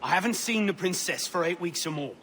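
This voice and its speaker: A man's voice: high voice, animated, brisk, a bit bright, slight background noise. An actor playing a male informant giving a matter-of-fact report in a dramatic video game cutscene. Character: informant man reporting plainly on strangers.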